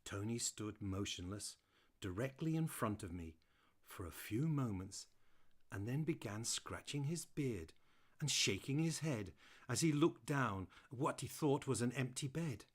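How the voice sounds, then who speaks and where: Man voicing a playful, animated, husky storybook dog. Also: mid-range voice, unhurried. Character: playful, chatty male Tibetan Terrier dog telling his story. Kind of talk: first-person audiobook narration.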